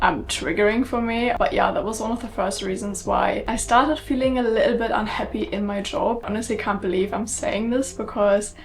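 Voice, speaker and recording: A young woman from Germany with a German accent, talking candidly to the camera in a casual sit-down vlog. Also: medium pitch. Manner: chatty and candid, many fillers like um and like. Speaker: vlogger.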